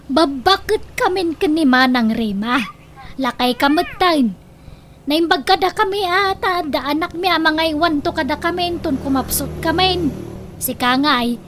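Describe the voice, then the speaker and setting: A high-pitched, outspoken older sister played by a woman in an Ilocano comedy radio drama. She teases and prods in an Ilocano accent, with faint noise behind her. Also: a little slow, a bit bright. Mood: nagging, teasing. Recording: faint background noise and ambience.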